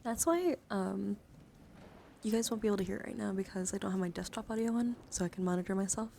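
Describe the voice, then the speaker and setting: Casting: young female ASMR streamer, soft and whispery with vocal fry, rambling and excitable, close mic over some noise. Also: mid-range voice, fast talker. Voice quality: soft, often breaking into whispers. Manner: rambling, giggly, easily excited. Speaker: live streamer. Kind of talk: casual ASMR chat to viewers.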